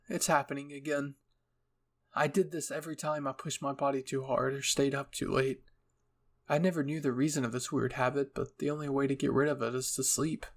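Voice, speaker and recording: A man's voice: medium pitch, creaky. A man reading fan fiction aloud as a narrator, in a scripted, dramatic storytelling style.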